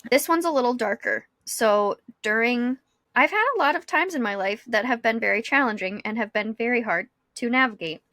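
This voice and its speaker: A woman's voice: on the high side, normal speed, fairly bright tone, no room sound. Casual woman podcaster, dry close sound. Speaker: podcast co-host; school psychologist. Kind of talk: casual conversational podcast talk.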